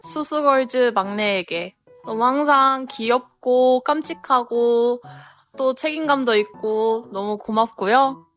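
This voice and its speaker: Sweet higher-voiced young woman. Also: takes it slowly, a bit bright, soft background music. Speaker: publicity staff at a youth center. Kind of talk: casual interview among coworkers.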